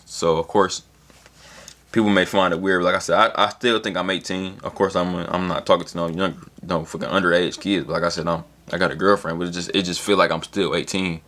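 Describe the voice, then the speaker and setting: A young man in his twenties talks to camera in a loose, rambling way, full of slang and the odd swear. His voice sits low and deep. It's a casual solo vlog, a motivational monologue. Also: fairly even intonation, brisk, a bit bright. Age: 20s. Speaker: vlogger.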